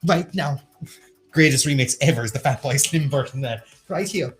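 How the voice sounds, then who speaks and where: A man's voice: mid-range voice, moderate pace, soft background music. An Irish man co-hosting a pub quiz, reading questions and options aloud with quips. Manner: playful, reads questions aloud, quips and sings snatches. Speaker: quiz host. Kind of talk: livestreamed pub quiz banter.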